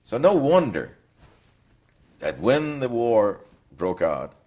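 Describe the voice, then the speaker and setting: An older man from Poland, giving a reflective, halting recorded testimony in an interview. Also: medium pitch, very animated, darkish tone.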